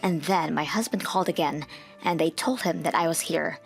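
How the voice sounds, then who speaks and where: A woman's voice: low-pitched, moderate pace, vocal fry. A woman reading scripted first-person story narration for a dubbed comic. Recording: music behind the voice.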